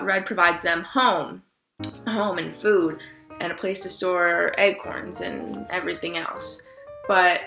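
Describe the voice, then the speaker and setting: A woman's voice: mid-range voice, sharp tone, reverberant. A woman hosting a children's book read-aloud, explaining the story and putting questions to her listeners.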